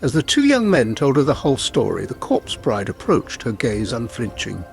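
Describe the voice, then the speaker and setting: A man's voice: mid-range voice, wildly swooping pitch, moderate pace, gravelly. A man telling a scripted folk tale as a storyteller. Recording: music bed behind the voice. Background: music.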